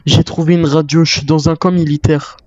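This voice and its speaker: A man's voice: fairly high pitch, little pitch movement, fairly quick, a little mellow, lo-fi sound. A man improvising in character as a survivor in a zombie game, thinking aloud as he plays. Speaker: gamer roleplaying a zombie-island survivor. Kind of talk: in-character gameplay narration, improvised.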